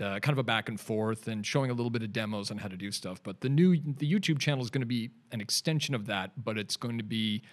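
A man's voice: on the low side, a bit bright. A man in his forties with a Canadian accent, chatting loosely as a podcast guest in a studio, with lots of fillers and restarts. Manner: rambling, laid-back, many fillers and restarts. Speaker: podcast guest, digital artist. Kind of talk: casual interview chat.

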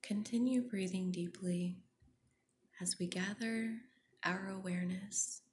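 Slow, whispery woman guiding meditation. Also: mid-range voice, fairly even intonation. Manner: soft, breathy near-whisper, soothing and gentle. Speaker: meditation guide, podcast host. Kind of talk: guided meditation.

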